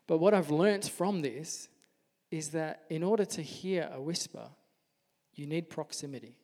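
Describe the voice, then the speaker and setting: Male pastor, soft-spoken and earnest, slightly raised pitch; sermon in a reverberant hall. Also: unhurried. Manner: earnest, gentle preaching.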